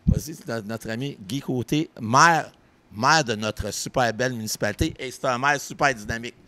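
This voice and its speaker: A man's voice: mid-range voice, moderate pace, pushed and bright, no echo. A man from northern New Brunswick hosting a casual podcast, teasing his guests and joking around. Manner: joking, teasing, acts out quoted voices. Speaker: podcast host. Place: pub.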